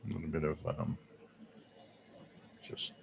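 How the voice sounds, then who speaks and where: A man from Quebec, Canada, hosting a casual live radio-hobby stream with a scratchy, hoarse voice, rambling with lots of fillers, recorded on a directional mic at home. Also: rumbling low, unhurried. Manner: rambling, many fillers and restarts. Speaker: radio hobby livestream host. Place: home radio room with air conditioning running. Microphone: directional microphone.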